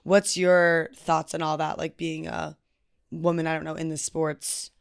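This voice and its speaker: Low-voiced hesitant woman co-host. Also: level tone, a little slow, vocal fry, clear, resonant tone. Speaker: podcast co-host. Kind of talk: casual podcast chat.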